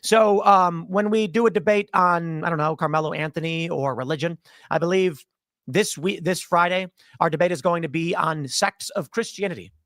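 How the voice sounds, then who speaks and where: A man's voice: a little high, normal speed, a bit bright, no room sound. A man from Chicago giving a political commentary monologue as a podcaster, emphatic and sarcastic in tone.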